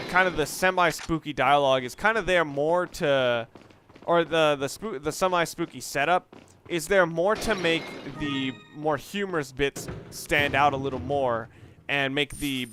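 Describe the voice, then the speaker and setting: A man with a voice a little higher than most talks through a video game casually. He rambles conversationally and corrects himself as he goes. Soft music plays faintly behind him. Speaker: let's play commentator. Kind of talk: casual gameplay commentary.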